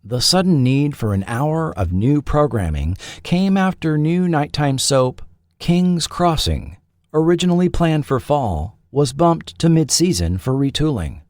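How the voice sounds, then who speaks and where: A man hosting a podcast, reading scripted documentary narration with measured, dramatic phrasing. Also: fairly high pitch, expressive, darkish tone, dry recording, clean studio sound. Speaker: podcast host. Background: faint background music.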